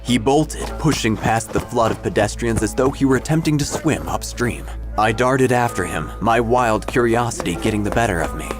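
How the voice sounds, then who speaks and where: A man narrating a scripted first-person story in a theatrical, wry manner with deliberate dramatic phrasing. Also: medium pitch. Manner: theatrical, wry, deliberate pauses, drops to hushed tones. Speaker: narrator, obituary writer character. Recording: music bed behind the voice. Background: background music.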